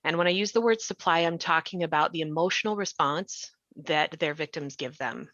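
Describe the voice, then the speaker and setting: A woman's voice: low voice, a little fast, a bit bright. A woman explaining things emphatically in a podcast discussion, as a writer on the panel. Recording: muffled, roomy sound.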